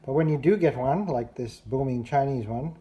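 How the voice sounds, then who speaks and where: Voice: medium pitch, normal speed, dark tone. Person demonstrating radios over background noise. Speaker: radio reviewer. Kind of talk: casual hands-on product demonstration.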